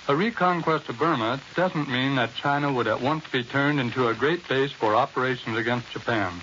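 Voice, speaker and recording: Male American war correspondent, formal measured phrasing, medium pitch, muffled 1940s radio with light hiss. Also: a bit bright. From United States. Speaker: war correspondent, interview guest. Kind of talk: scripted 1940s radio news report. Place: New York radio studio. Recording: hissy, band-limited 1940s radio recording.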